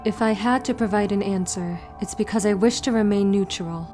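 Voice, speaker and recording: A woman voicing a composed, analytical teenage girl detective in a fan dub, speaking in a measured, formal and deliberate way. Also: mid-range voice, music in the background, natural voice. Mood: calm, probing. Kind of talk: anime-style fan dub acting.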